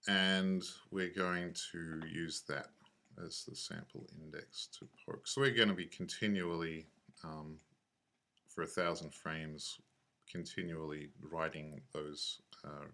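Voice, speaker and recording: A man giving a casual software tutorial, thinking aloud in a laid-back, offhand, slangy way. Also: very deep voice.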